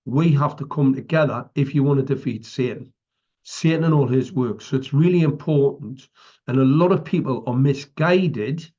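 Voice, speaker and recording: Man, British accent, emphatic activist speech, preaching manner, mid-pitched voice, muffled lo-fi recording. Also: moderate pace, mellow. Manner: emphatic, preaching, tags statements with "right" and "okay". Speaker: activist speaker. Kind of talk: impromptu public address. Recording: dull lo-fi audio.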